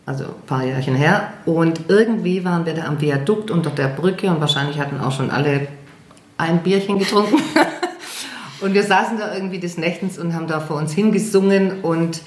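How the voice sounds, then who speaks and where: A woman's voice: lowish voice, moderate pace. A German woman singer, chatting in an interview, hesitant and self-deprecating with many fillers. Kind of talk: casual interview chat. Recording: echoey room.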